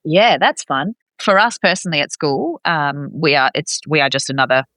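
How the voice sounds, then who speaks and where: Bright-voiced, chatty woman in close sound. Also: sits low, normal speed, no echo. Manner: chatty, expressive, acts out reported dialogue. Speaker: podcast guest, expat parent. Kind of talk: casual conversational interview.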